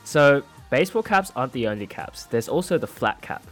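A man co-hosting a podcast, chatting casually and explaining things in a relaxed way with lots of likes and yeahs. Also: medium pitch, fairly quick, soft background music. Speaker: podcast co-host, English speaker. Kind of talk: casual conversational chat. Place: studio in Sydney.

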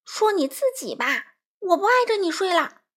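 A woman voicing a stubborn, grumbling animal friend in a children's story, huffy and quick to retort. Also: extremely high pitch, sing-song, a bit bright, voice pushed up, heavily acted.

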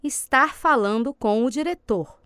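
Clear, smooth-voiced woman for drill cues, measured and exact. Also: fairly high pitch, dry recording, clean studio sound. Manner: calm, precise cue reading. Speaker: cue reader in a language course. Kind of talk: scripted language drill prompts.

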